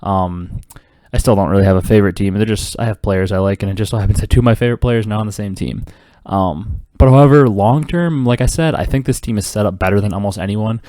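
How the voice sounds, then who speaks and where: A young man with a head cold talks quickly through his solo esports podcast, his voice congested and sitting somewhat low. He rambles and fills his gaps with ums and uhs. The sound is close and dry, with no room around it. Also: no room sound. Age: 20s. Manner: rambling, filler-heavy, excited esports talk. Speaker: podcast host. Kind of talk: casual solo podcast commentary.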